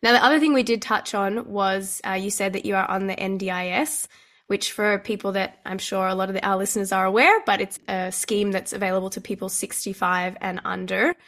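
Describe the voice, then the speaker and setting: A woman's voice: medium pitch, fairly even intonation, a little fast, a bit bright. A woman hosting a podcast interview, asking encouraging questions in a conversational way. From Australia. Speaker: podcast host.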